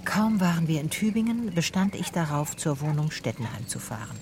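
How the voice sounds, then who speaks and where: Casting: female private eye, radio drama, low register, dry, clipped, matter-of-fact delivery. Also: big pitch swings, brisk, noisy background. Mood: matter-of-fact, brooding. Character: female private detective narrating her own case, dry, brisk. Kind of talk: radio drama first-person narration and dialogue.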